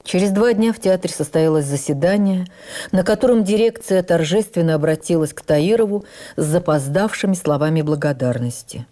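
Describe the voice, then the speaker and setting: A woman playing an actress who recalls her life in the theatre, narrating in the first person for radio drama in an expressive, measured, literary way. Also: fairly low pitch, natural voice. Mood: earnest, reflective. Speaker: actress reading a stage memoir. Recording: radio broadcast with faint music.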